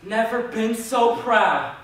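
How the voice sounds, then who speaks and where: A man playing a blunt, morbid, rough-talking rail yard townsman in dramatic stage storytelling. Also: extremely high pitch, slow pace, fairly bright tone, full character voice. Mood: impatient. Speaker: actor in a student readers theater group. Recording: very echoey hall, distant mic.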